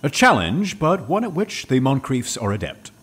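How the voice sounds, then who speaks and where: A man's voice: medium pitch, sing-song, normal speed, a bit bright, husky. An actor playing a fussy, anxious android man who speaks in precise, prim phrases. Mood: frantic.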